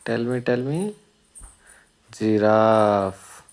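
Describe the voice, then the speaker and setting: A man narrates an educational video for young children. His voice is on the low side and his delivery is extremely slow, in short simple phrases separated by pauses, often turned into questions for the listener. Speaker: presenter of a children's learning video.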